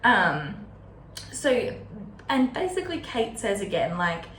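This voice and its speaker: A woman's voice: medium pitch, sing-song, slow, fairly bright tone. A woman chatting casually and wryly as she hosts a book discussion, often drifting into asides. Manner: chatty, wry, digressive with asides. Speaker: host of a book readalong series. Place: indoor room at a desk. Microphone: camera set on or near desk. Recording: roomy echo, background room noise.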